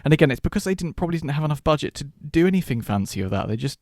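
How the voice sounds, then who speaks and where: A man's voice: a little high, big pitch swings, very fast, a little mellow, no echo, studio quality. A man hosting a podcast, giving his take in a conversational film discussion. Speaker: podcast host.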